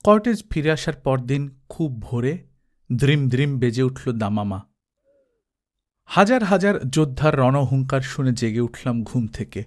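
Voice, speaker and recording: A man reading an adventure novel aloud as narrator, steady and dramatic. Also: mid-range voice, moderate pace. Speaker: audiobook narrator. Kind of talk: storybook reading.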